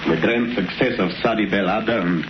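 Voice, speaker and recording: A man as a deep-voiced smug foreign crook. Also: fairly quick, close to an everyday voice. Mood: businesslike. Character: suave foreign criminal accomplice, greedy, smug man. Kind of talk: 1930s radio drama acting. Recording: very noisy muffled old radio recording.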